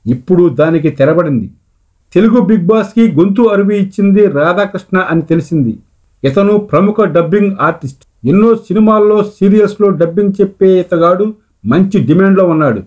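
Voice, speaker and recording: A man's voice: sits high, darkish tone, dull audio. A man reading scripted entertainment news as a voice-over narrator.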